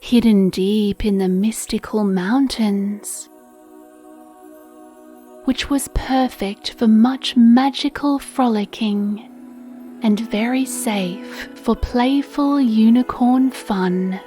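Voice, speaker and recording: A woman reads a children's bedtime meditation at an extremely slow, unhurried pace. Her voice is soft, mellow and hushed, with a soothing, breathy gentleness. Quiet music plays behind her. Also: a little high. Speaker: meditation narrator. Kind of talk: children's sleep meditation storytelling, scripted narration.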